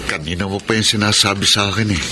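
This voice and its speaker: Grumbling, lowish-voiced man, acted in radio drama. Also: steady tone, fast talker, background noise, close to an everyday voice. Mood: mildly grumbling. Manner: mildly complaining. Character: grumbling, easygoing male companion of a bossy woman. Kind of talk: Tagalog radio drama acting.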